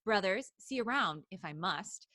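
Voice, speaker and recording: A woman's voice: mid-range voice, animated, normal speed, pushed and bright, no echo, studio quality. A woman reading as a teasing teenage schoolgirl. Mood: mock-exasperated.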